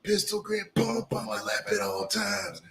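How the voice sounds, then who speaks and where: A man's voice: sits high, very animated, a little slow, creaky, a bit bright. A man hosting a casual podcast, talking loosely and swearing often, with lots of bro and dude. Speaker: podcast host. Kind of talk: casual chat.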